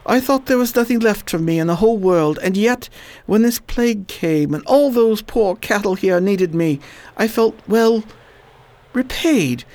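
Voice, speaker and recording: Woman as worn-down male plague fighter, low and dry, animated intonation, amateur radio drama. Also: unhurried, no room sound, natural voice. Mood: weary. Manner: low, tired, wry. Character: weary Oxford-educated man fighting plague.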